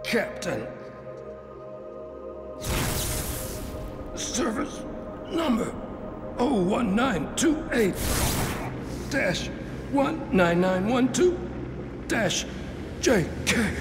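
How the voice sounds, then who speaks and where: Tormented, very slow, gravelly captive captain. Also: male voice, sits high, sing-song, heavily acted. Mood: anguished. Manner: halting, strained, anguished. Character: tormented captured starship captain, defiant, losing his memory. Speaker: voice actor. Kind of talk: dramatic game cutscene acting. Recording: loud music behind the voice.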